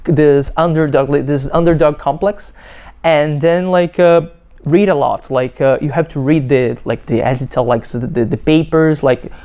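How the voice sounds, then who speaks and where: A young man from Brazil with a Brazilian Portuguese accent, a PhD student giving a halting testimonial full of fillers and restarts. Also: a little high, steady tone, mellow. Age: young adult. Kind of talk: testimonial interview.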